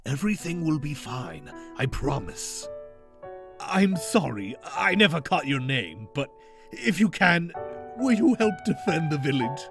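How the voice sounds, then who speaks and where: A man voicing a gentle, timid amnesiac handyman in a fan comic dub, polite and soft-spoken. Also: on the high side, very animated, measured pace, full character voice. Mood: reassuring. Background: background music.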